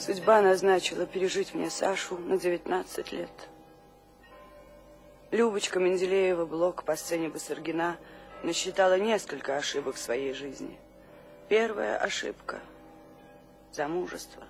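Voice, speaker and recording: An aggrieved memoirist in an audio drama monologue. The voice is high and creaky, reflective and wounded, with faint music behind it. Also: male voice, moderate pace, long pauses. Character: aggrieved memoirist looking back, bitterly reflective.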